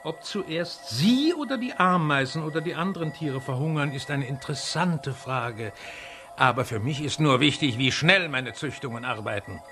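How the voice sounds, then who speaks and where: An actor playing a gloating mad scientist villain in an audio drama, mockingly polite and theatrical. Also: male voice, a little high, animated, normal speed, over music. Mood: mocking, menacing. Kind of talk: German audio drama acting.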